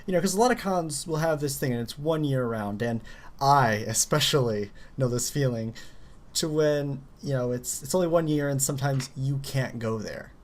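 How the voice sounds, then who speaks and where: A man co-hosting a live radio podcast, talking in a rambling, relaxed way with lots of "you know". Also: mid-range voice, normal speed. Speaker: co-host. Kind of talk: casual live podcast chat.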